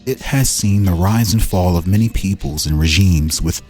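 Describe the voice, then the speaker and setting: A man's voice: sits low, moderate pace, a little mellow. A man hosting in a casual style, reading game lore aloud and breaking off into musing, informal asides. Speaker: host presenting fan-made game lore. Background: music.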